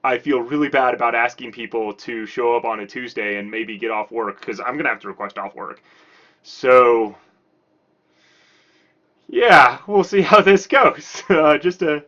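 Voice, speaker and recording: A man hosts a casual filmmaking diary, talking candidly and conversationally as the writer-director. His pitch sits in a middle range. The sound is a little dull and lo-fi. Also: sharp tone. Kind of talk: casual production diary to camera.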